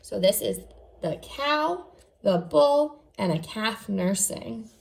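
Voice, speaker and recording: A woman's voice: mid-range voice, animated, takes it slowly, vocal fry, rough recording. A woman teacher reading aloud to young children, enunciating carefully and adding short explanatory asides. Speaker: first grade teacher.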